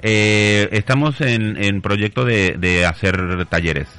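A man's voice: on the low side, takes it slowly, a bit bright, smooth. A man, a craftsman interviewed as a guest, explaining things in casual conversation. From Mexico.

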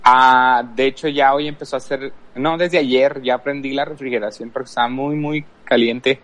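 Male podcast guest, medium pitch, unscripted personal storytelling, hesitant delivery. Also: fairly even intonation, normal speed, a bit bright. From El Paso, Texas, USA. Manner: hesitant, thinking aloud. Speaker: podcast guest, worship leader.

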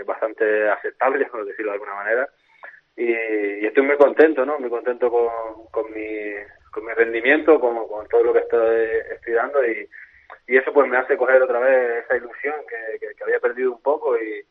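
A man with a softened Canarian Spanish accent, a footballer speaking in a phone interview, hesitant and repeating words with many fillers. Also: mid-range voice. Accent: Canarian Spanish, a little softened. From Canary Islands. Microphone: telephone. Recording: tinny phone line.

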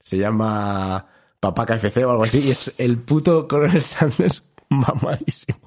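A man's voice: mid-range voice, normal speed, darkish tone. Spanish guy rambling on a podcast, jokey, laughs a lot. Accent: Spain Spanish. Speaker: podcast co-host. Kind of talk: casual chat.

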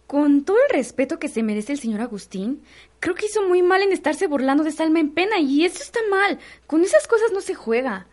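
A woman's voice: high voice, lively intonation, a little fast, hardly any pauses, warm tone. A woman with a Mexican accent playing a pious, superstitious, fearful housemaid in a melodramatic radio soap opera. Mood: grave, hushed.

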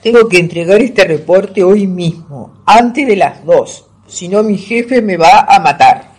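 A woman's voice: on the low side, lively intonation, unhurried, a little mellow, husky. A woman in amateur radio theatre playing a stressed, irritable young man who grumbles in exasperation. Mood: stressed, irritated. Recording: audible background noise.

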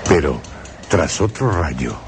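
A man hosting a radio drama program, speaking in a measured, theatrical way with long pauses. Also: rumbling low, sing-song, noisy background.

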